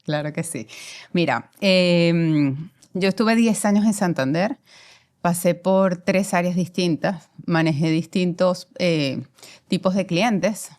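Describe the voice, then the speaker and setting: A woman's voice: lowish voice, slow pace, a little mellow. A woman in her forties with a Venezuelan accent, a guest telling her career story in a reflective way, with many fillers. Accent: Venezuelan Spanish. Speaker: guest, commercial director. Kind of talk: conversational interview.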